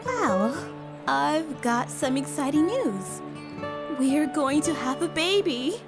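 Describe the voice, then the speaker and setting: A woman's voice: sits high, expressive, slow pace, full character voice. A woman acting an affectionate young wife in an anime-style audio drama. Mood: excited, affectionate. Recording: loud guitar music behind the voice.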